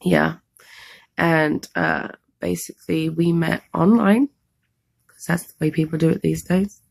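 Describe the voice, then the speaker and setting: A London woman, hoarse from a cold, talks in a low, gravelly voice. She rambles through a casual story time with lots of fillers. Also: steady tone, a little slow. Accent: London English. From England, London. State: losing her voice from a cold. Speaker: vlogger co-host.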